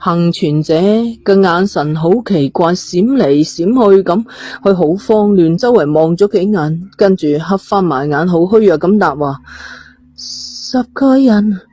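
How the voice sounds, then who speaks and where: A woman's voice: on the low side, measured pace, mellow, dry recording. A woman, narrating a scripted thriller story. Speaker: audiobook narrator.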